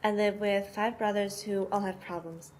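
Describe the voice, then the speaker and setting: A woman's voice: mid-range voice, fairly even intonation, moderate pace, close to an everyday voice. A woman playing a tough, protective sister who mothers her brothers, explaining things matter-of-factly in an amateur audio play. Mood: candid. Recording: noisy amateur home recording.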